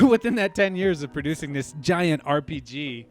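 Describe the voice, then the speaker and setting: A man's voice: on the high side, animated, a little slow, soft background music. A man co-hosting a podcast, talking casually with enthusiasm and slang. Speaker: podcast co-host. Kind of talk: casual chat.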